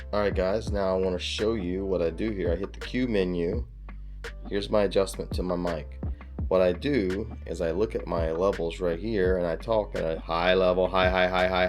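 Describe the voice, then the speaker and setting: Deep-voiced man giving a casual tutorial. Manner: plain-spoken, repeats himself for emphasis. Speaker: YouTube creator teaching video basics. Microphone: lav mic, wired then wireless.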